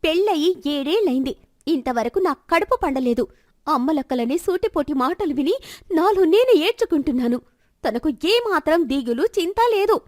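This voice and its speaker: A high-pitched village wife, acted by a woman storyteller, bitter and sharp-tongued. She throws scornful questions and complaints in a storybook drama, recorded dry and close. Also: expressive, moderate pace, no room sound. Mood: scornful, angry. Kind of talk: dramatized Telugu moral story.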